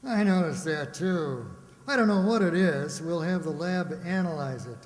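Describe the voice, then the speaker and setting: A man's voice: a little high, lively intonation, normal speed, close to an everyday voice. A man playing a sardonic, exasperated police lieutenant in an old-time radio drama style, in a very reverberant recording. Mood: businesslike. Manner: sardonic, grumbling, officious. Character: exasperated, sarcastic male police homicide lieutenant. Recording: very reverberant room or hall.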